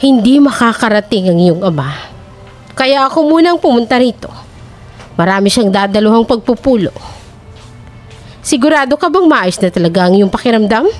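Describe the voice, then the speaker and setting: A woman's voice: fairly high pitch, expressive, normal speed, long pauses, a little mellow, natural voice. A woman voicing a worried, stern queen who scolds her son. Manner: anxious, scolding. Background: faint music.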